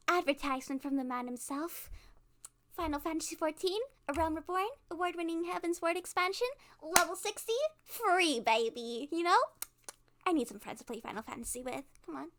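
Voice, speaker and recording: A woman's voice: high-pitched, fairly bright tone. A woman playing a cute, awkward, cheerfully menacing kidnapper girl in a rambling, playful ASMR roleplay monologue. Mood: eager pitching. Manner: rambling, halting, giggly, playful.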